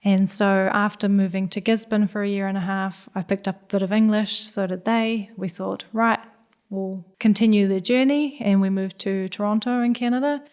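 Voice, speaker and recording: A woman's voice: mid-range voice, fairly even intonation, moderate pace, darkish tone, clean tone. A woman in her thirties, originally from Hungary, who speaks with a New Zealand accent and reflects thoughtfully as a guest scientist on a podcast. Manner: reflective, many "yeah" and "I guess" fillers. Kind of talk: podcast interview.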